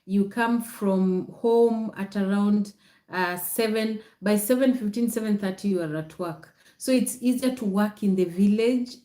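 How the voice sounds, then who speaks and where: A woman's voice: medium pitch, measured pace, mellow, roomy sound. A woman with a Kenyan accent chatting casually to viewers as a livestream host, explaining patiently and repeating phrases. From Nakuru, Kenya. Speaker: content creator hosting a livestream.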